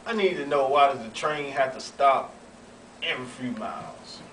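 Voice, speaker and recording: A man's voice: medium pitch, moderate pace, a bit bright, lightly acted. A man playing a brusque, busy railroad boss in an amateur sketch read-through, emphatic and commanding. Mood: impatient. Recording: roomy, distant, faintly noisy recording.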